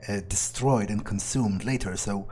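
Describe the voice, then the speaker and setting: A man's voice: fairly low pitch, moderate pace, mellow. A man doing an in-character game playthrough as a self-assured, scornful vampire, thinking aloud in a hesitant, musing way. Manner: hesitant musing with muttered asides. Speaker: gameplay video player. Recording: noticeable background noise.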